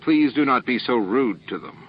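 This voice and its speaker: A man voicing a calm, formal, self-assured alien leader in an audiobook, condescending and baffled by others. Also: medium pitch, moderate pace, muffled recording, a little hiss or hum, voice pushed up, lightly acted. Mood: smoothly reasoning.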